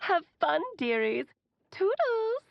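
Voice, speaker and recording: A playful, motherly video game witch, speaks in a lively, swooping, fairly high voice with a gravelly creak. The delivery is theatrical and teasing, and it sounds like a dull, muffled recorded message over soft music. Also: slow pace, a little hiss or hum, full character voice. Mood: breezy, playful. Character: Alice, playful breezy motherly witch mage leaving a message.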